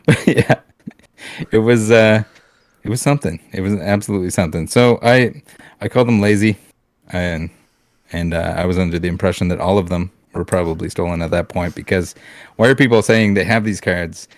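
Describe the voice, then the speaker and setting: A man's voice: sits low, a little fast, dry recording, studio quality. A man from Canada on a casual podcast, ranting bluntly with swearing, restarts and fillers. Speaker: podcast co-host.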